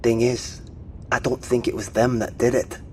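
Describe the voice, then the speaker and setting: An actor playing a gruff, wary British Royal Marines sergeant in an audio drama, slangy and commanding. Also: male voice, mid-range voice, flat delivery, normal speed, mellow, slight background noise, lightly acted. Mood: wary, curious.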